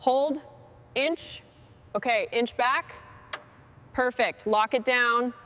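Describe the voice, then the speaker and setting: Higher-pitched woman, noisy background. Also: takes it slowly, a bit bright. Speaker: mechanic leading a truck restoration. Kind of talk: short workshop instructions and commentary.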